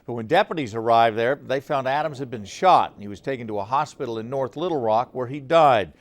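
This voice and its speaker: A male news anchor with a medium-pitched voice reads scripted stories. He delivers them in the structured manner of a television newscast. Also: brisk.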